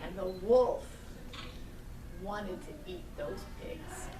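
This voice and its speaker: A woman's voice: mid-range voice, expressive, slow. Woman storyteller in a noisy, echoey room. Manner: simple wording, prompts children with questions. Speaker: storyteller at a puppet show. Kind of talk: live storytelling to young children. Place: live show in a roomy space with children. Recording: roomy, distant mic, noisy live room.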